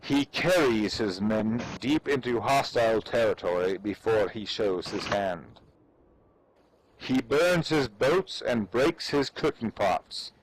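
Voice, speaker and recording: Slow, low-voiced man reading solemnly, noisy recording. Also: expressive. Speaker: reader performing a dramatic reading. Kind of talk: dramatic reading of an ancient military treatise.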